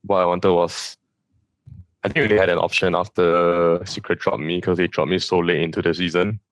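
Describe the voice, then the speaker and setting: A man's voice: low voice, flat delivery, normal speed, clear, resonant tone, dry recording, poor audio. A man whose first language is Chinese, an esports coach from Southeast Asia, talking casually in an interview and often repeating words as he recounts things. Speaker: esports coach, podcast guest.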